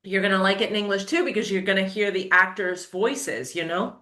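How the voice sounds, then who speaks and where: American woman, English tutor, bright and emphatic, echoey room. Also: medium pitch, fairly quick. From United States. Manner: emphatic, encouraging, gives firm advice. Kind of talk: casual interview.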